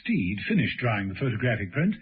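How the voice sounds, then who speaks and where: A man's voice: lowish voice, sing-song, brisk, husky. A man giving dramatic scripted narration for a radio serial. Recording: dull old radio recording.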